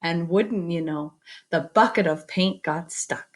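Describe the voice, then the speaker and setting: A woman reading a children's picture book aloud expressively, with chatty asides to her young listeners. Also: lowish voice. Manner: expressive, pauses to comment and invite predictions. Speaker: read-aloud storyteller.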